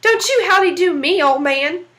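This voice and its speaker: A woman's voice: very high-pitched, lively intonation, moderate pace, fairly bright tone. A woman reading aloud, voicing a furious, scolding old male devil. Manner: angry, scolding.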